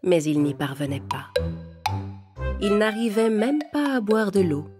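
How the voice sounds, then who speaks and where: A woman's voice: medium pitch, big pitch swings, measured pace, music in the background. A woman narrating a children's story in a scripted, carefully phrased storytelling style.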